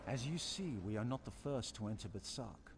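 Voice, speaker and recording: An actor playing a calm male adventurer in a video game, speaking in a measured, businesslike way as he offers paid work. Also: mid-range voice, close to an everyday voice. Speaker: non-player character in a video game. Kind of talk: game dialogue.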